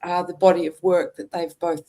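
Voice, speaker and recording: A woman hosting a spiritual podcast over a video call, speaking in a slow, reverent, ceremonial way as she leads an invocation. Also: lowish voice, little pitch movement, dark tone. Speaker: podcast host.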